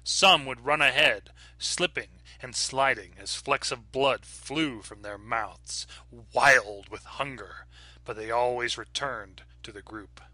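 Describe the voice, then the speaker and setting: A man reading a horror short story aloud in a measured, dramatic narration. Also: medium pitch, sharp tone, no echo. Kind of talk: scripted narration.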